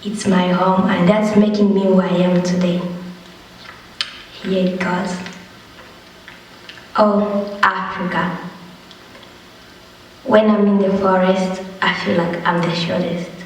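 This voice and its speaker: A young refugee reading a prepared account and a poem in a slow, steady way. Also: medium pitch, slight background noise. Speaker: young refugee telling own story. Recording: distant voice in a reverberant hall.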